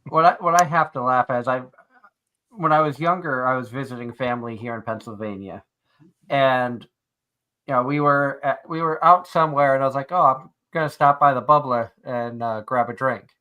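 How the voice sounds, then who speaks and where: A man with a Boston accent, co-hosting a casual live-stream chat and telling lively stories about accents. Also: mid-range voice, normal speed, low-quality recording. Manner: animated storyteller, mixes in accents.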